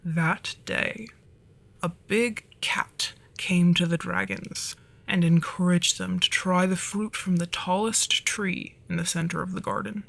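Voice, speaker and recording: A performer of unclear sex voicing a flirty, easily flustered dragon storyteller in an ASMR roleplay, softly and breathily, often slipping into whispers. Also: medium pitch, steady tone, slow pace, gravelly. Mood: gentle, storytelling.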